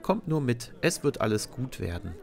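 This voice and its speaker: A man's voice: mid-range voice, very animated, moderate pace, creaky. A man voicing a kind, confident little witch in a storybook audio play, reassuring in manner.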